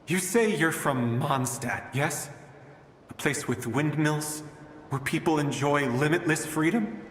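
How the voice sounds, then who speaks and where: An actor playing a weary father from an ancient age who searches for his daughter, speaking in a halting, resigned, plaintive way in a dramatic video game. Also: on the high side, a little hiss or hum. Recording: long echoing reverb, game music underneath. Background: game music.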